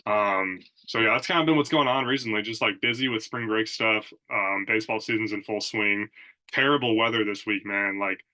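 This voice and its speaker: A young man speaks in a somewhat low register, nasal and stuffed up from allergies. His tone is bright and forceful. He rambles casually over a Zoom recording, leaning on filler words. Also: normal speed, poor audio. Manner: rambling, filler-heavy, says "like" and "dude" often. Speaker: podcast host and strength coach. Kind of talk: casual solo podcast talk.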